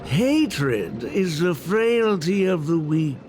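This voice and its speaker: A man's voice: fairly high pitch, sing-song, slow, darkish tone, faint music behind. A man voicing a pompous monkey philosopher in a game, lecturing in wordy pronouncements. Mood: solemn, preachy.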